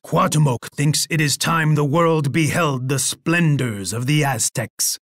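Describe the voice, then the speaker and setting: A man acting a proud, bloodthirsty Aztec emperor for a video game, with a husky voice and an imperious, boastful manner. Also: fairly high pitch, fairly bright tone, no echo, studio quality. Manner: imperious, boastful, speaking of himself in third person. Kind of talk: video game character voice lines.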